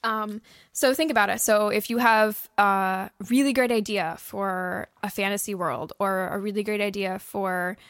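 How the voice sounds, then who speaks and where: A woman's voice: medium pitch, fairly even intonation, a little slow, a bit bright, no room sound. A woman co-hosting a podcast as a writer, explaining things in casual, informal chat. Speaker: podcast co-host and audio drama writer.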